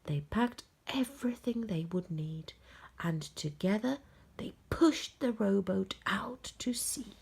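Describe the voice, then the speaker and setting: A woman storyteller reading a children's bedtime story aloud, gently and expressively, with dramatic pauses and hushed phrase endings. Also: mid-range voice, gravelly, husky.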